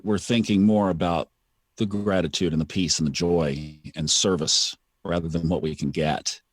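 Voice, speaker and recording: A man's voice: sits low, dry recording, clean studio sound. A man hosting a podcast, talking in a reflective, storytelling way and repeating phrases for emphasis. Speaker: podcast host. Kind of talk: casual conversational chat.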